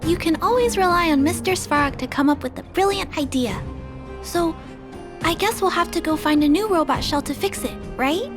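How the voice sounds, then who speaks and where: Video game role: kindhearted young girl, high-pitched, earnest and polite, over music. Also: expressive, moderate pace. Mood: thoughtful, helpful. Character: shy, kindhearted little girl who cares for robots.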